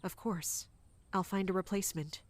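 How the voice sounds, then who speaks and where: A woman's voice: medium pitch, fairly even intonation, normal speed, natural voice. A woman playing a dutiful, guarded royal guard captain in an audio drama, speaking formally and deferentially.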